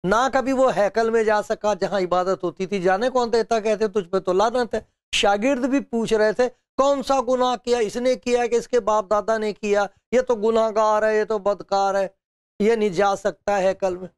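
A man's voice: sits high, fairly even intonation, moderate pace, clean tone, no echo, studio quality. An older man preaching a sermon to camera with a lapel mic, telling stories and acting out quotes with chuckles. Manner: storytelling, acting out quotes with laughs and gestures. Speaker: pastor. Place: studio with bookshelves, indoors.

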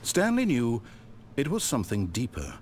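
Theatrical, mocking game narrator. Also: male voice, medium pitch, huge pitch swings, normal speed, darkish tone, a little hiss or hum. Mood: wry, storybook calm. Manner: theatrical, mocking, condescending, articulate. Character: omniscient sardonic male narrator toying cruelly with his character. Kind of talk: scripted storybook-like video game narration.

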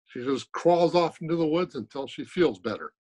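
A man's voice: fairly high pitch, lively intonation, normal speed, a little mellow, breathy edge. An older man playing a blunt, matter-of-fact male colleague in an old-time radio comedy. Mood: deadpan grumbling. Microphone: phone on video call.

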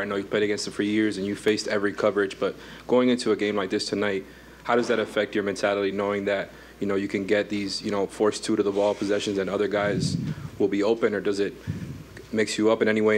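A man's voice: fairly low pitch, a little hiss or hum. A man, a sports reporter asking a question at a press conference.

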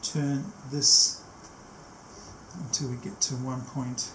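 A man in his sixties giving an unscripted hands-on demonstration, explaining step by step and pausing as he works, picked up by a camera mic in a small workshop. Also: medium pitch, little pitch movement, a bit bright. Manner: step-by-step explaining, often pausing mid-task. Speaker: technician demonstrating a tape machine setup. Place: small cluttered indoor workshop. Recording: echoey room, faint background noise.

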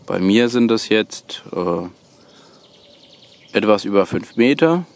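Slow-spoken, low-voiced man. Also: animated, creaky. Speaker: host of a bushcraft tutorial channel. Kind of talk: hands-on how-to demonstration. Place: outdoors on a woodland floor, birdsong behind.

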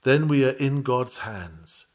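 A man's voice: mid-range voice, expressive, takes it slowly. A man reading a historical sermon aloud as a preacher would, in an earnest, formal, rhetorical manner. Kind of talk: sermon read aloud, solemn measured preaching.

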